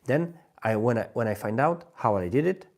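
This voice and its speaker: Halting man with a Polish accent. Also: medium pitch, animated. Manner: halting, searching for words, many restarts. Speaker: language-learning livestream host. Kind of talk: live stream monologue.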